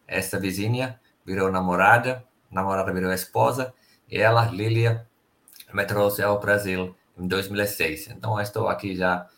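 A middle-aged man from Finland with a Finnish accent, speaking Portuguese as a second language and telling stories as an interview guest. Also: on the low side. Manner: relaxed storytelling, careful non-native phrasing. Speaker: guest, company sales director. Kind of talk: casual interview chat.

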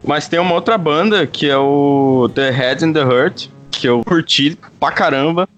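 A man's voice: fairly high pitch, unhurried, fairly bright tone. A man talks casually as a panelist on a music podcast. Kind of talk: casual chat.

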